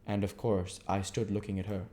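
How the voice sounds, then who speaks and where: A man's voice: on the low side, little pitch movement, normal speed, mellow. A man giving an amateur audiobook narration of a classic novel as the reader and host.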